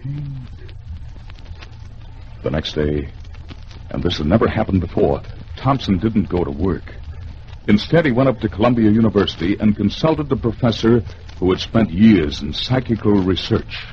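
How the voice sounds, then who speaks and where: A man narrating a 1950s radio thriller in a theatrical, dramatic way, with deliberate pauses. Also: fairly low pitch, lively intonation. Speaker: radio storyteller. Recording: dull, faintly noisy old radio recording.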